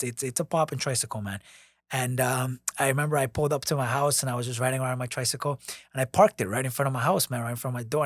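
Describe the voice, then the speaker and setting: A man's voice: medium pitch, dry recording. Young guy rambling through a story, lots of uh and restarts, dry close sound, swears casually. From born in New York, raised abroad by grandmother. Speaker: podcast co-host.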